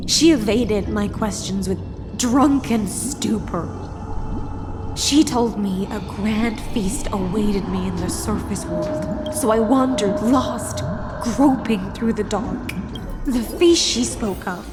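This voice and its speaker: Slow, solemn siren knight played by an actress. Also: female voice, mid-range voice, over music, lightly acted. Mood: mournful. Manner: solemn, formal, longing. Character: sorrowful female sea siren turned loyal knight. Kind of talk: dramatic game voice acting.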